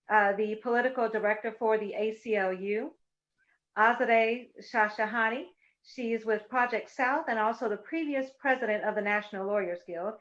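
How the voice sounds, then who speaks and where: A middle-aged woman moderating and hosting a webinar panel, speaking in a measured way with frequent fillers. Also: fairly high pitch, a bit bright. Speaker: moderator and host. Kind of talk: webinar moderation and personal remarks. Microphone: video call. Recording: muffled lo-fi webinar audio.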